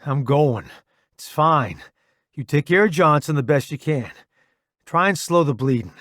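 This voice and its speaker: Man acting a forceful, determined soldier. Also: on the high side, expressive, a little slow, no room sound, clean studio sound. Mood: resolute. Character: determined, weary male soldier, gruff and protective.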